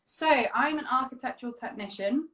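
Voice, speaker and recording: A woman's voice: high voice, brisk, bright, forceful tone, reverberant. A woman, a guest on a casual video-call interview, giggly and apologetic, swearing freely. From Wales. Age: 20s.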